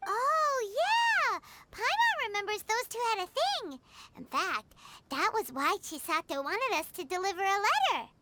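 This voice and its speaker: Super high squeaky little fairy gal, bouncy and chatty, pitch jumping everywhere, game music under it. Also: female voice, sing-song, measured pace, noisy background, heavily acted. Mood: excited. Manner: chatty, eager, talks about herself in third person. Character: tiny excitable floating fairy companion girl. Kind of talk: game voice acting.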